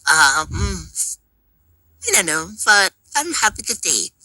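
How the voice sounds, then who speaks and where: A woman's voice: fairly low pitch, sing-song, slow, sharp tone, no echo, poor audio. A woman speaking casual video greetings, altered by a cartoonish voice changer filter. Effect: voice changer filter, cartoonish character presets.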